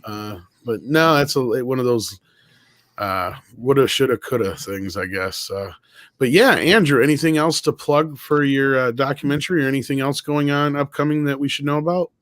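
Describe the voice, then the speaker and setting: A man's voice: medium pitch, normal speed, a bit bright, no echo, studio quality. A man co-hosting a live show in a casual, conversational streaming style.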